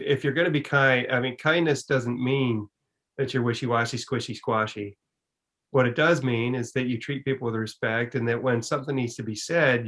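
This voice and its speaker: A man presents and answers questions on a video call as the guest speaker. His voice sits at an average pitch. He explains things emphatically, with a folksy turn of phrase. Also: a bit bright. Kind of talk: online presentation and Q and A.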